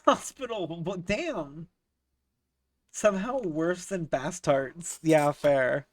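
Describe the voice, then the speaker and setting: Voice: mid-range voice, expressive, takes it slowly, no echo, distant mic. A streamer getting over a cold and sounding sniffly, chatting casually with viewers during a live game stream, swearing freely and laughing often. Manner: profane, joking, laughs often, reads chat aloud.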